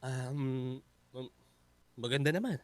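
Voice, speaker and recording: A man acting as a polite, secretive houseguest with a Filipino accent, speaking haltingly in a radio drama. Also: medium pitch, no room sound, close to an everyday voice. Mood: evasive, reluctant. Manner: hesitant, halting, humble.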